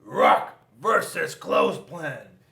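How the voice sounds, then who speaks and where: A bombastic storybook rock warrior, acted out by a man reading aloud, hungry for battle and full of boasts. The cries are slow and drawn out, with big swings in pitch, ringing in an echoey room. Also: expressive, vocal fry, bright, forceful tone, full character voice. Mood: booming, triumphant.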